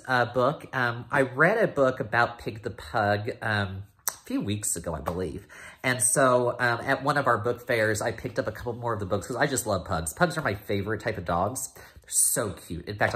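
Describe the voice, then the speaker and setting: A man's voice: mid-range voice, fairly bright tone. An American man in his thirties, a teacher reading a picture book aloud with casual asides. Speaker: teacher reading to first graders. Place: small room at home. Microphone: phone or laptop at arm's length.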